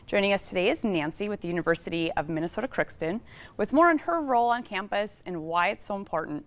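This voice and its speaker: A woman's voice: medium pitch, expressive, quick pace. A woman hosting a television interview, delivering introductions and questions in a presenter's style. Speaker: TV show host, interviewer.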